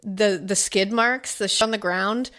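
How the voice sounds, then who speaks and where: A woman co-hosting a true-crime podcast in casual chat, animated and repeating her points for emphasis. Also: mid-range voice, measured pace. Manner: animated storyteller, repetitive emphasis. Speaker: podcast co-host.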